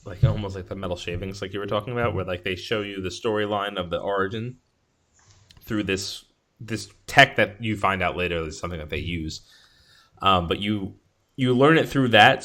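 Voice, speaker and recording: A man with a fairly low voice talks casually as a podcast host. He rambles enthusiastically through his thoughts, in the loose style of a movie review chat. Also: brisk, sharp tone.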